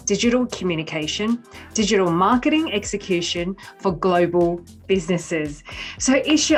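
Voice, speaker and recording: A woman hosting a business interview in a friendly manner, laughing now and then. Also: lowish voice. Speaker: host.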